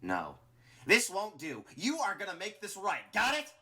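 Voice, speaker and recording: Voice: mid-range voice, huge pitch swings, slow, bright, forceful tone, voice pushed up, full character voice. A narrator voicing a scheming, bossy and angry male flower creature in a dramatic story, spitting out the words. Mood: bossy anger. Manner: roaring, spitting, disingenuous.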